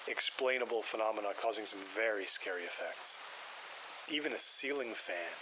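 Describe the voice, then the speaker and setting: A man voicing a retiring parapsychologist, older and methodical, who talks in a measured, lecturing way. His voice sits a little low. Hiss and rain run clearly under the whole audio drama recording. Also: close to an everyday voice. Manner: measured, explanatory. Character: aging male parapsychologist, calm and methodical. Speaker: paranormal investigator. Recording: steady hiss and rain behind.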